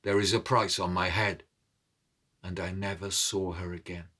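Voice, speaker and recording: A man playing a weary, proud old thief who recounts his deeds in a solemn, deliberate, declamatory way. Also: low voice, flat delivery, fairly bright tone, husky. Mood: solemn, resigned. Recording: cello and violin music behind.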